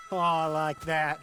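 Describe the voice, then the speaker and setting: Slow, sly, menacing outlaw boss. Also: male voice, on the high side, pushed and bright, heavily acted, poor audio. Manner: slow, taunting, insinuating. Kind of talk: dramatic video game western acting.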